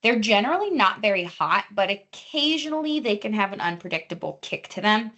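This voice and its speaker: Brief: young woman dietitian, friendly and chatty cooking instructor, mid pitch, video call audio. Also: sing-song, brisk, a bit bright. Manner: friendly, chatty, many fillers. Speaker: dietitian teaching a cooking class. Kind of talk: live online cooking demonstration. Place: home or teaching kitchen.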